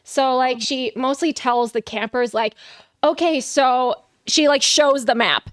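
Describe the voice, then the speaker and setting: Chatty high-voiced woman game master. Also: a little slow, a bit bright, dry recording. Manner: chatty, filler-heavy, upbeat. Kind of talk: narrating a tabletop game.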